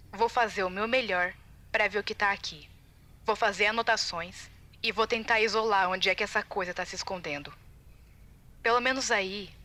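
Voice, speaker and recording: Video game audio log: woman playing anxious, determined female tester, Brazilian, bright tense tone, confiding and urgent delivery. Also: mid-range voice, natural voice. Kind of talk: recorded audio-log monologue, video game fan dub.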